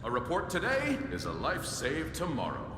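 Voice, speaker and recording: Stern propaganda officer, echoing hall. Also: male voice, huge pitch swings, moderate pace, a bit bright. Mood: stern, warning. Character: stern propaganda officer warning citizens of disloyalty. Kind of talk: propaganda public announcement. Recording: booming echoey hall, rumbling background noise.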